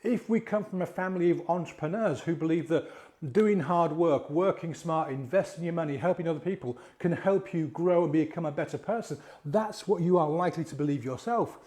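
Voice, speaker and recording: A middle-aged man with a croaky voice from a cold, talking casually to camera about confidence. His voice is slightly high for a man but mellow and warm. He talks in a chatty way, asking rhetorical questions and restarting his phrases. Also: brisk. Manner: conversational, asks rhetorical questions, repeats and restarts words. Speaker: mindset coach and hypnotist. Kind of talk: casual coaching talk to camera.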